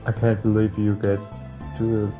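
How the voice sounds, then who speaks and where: A man casually reading a visual novel's text aloud as a playthrough host, halting at times and adding offhand asides. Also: on the low side, completely flat delivery. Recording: game music behind the voice. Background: game music.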